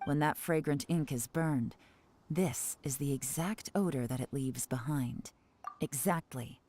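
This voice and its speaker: A woman voicing a shrewd, self-assured female intelligence agent in a video game, composed and deliberate. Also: sits low, mellow, a little hiss or hum. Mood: cool, analytical.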